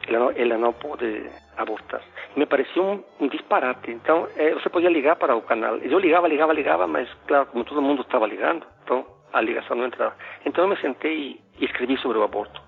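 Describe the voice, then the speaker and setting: A middle-aged man speaks with a Spanish accent, his voice gravelly and a little husky. He talks in a halting, conversational way, stopping and restarting often. The sound is narrow and phone-like. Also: mid-range voice, lively intonation. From Ecuador. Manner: halting, many restarts and fillers. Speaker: philosophy professor, podcast guest. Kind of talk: casual conversational storytelling.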